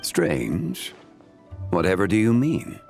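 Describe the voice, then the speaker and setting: A refined, cryptic gentleman from a video game. His low voice is slow and formal, calm and evasive, with a creaky edge. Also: faint music behind, heavily acted. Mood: coyly unruffled.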